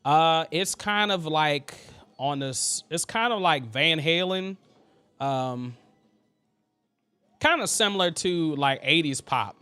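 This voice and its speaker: Male host, thin but smooth voice, loose and talky, live tutorial feel. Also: a little high, animated, takes it slowly, sharp tone, no echo, soft background music. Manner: loose and chatty, full of fillers and asides to the chat. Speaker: host teaching vocal mixing. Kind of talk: casual live tutorial, chatting with viewers.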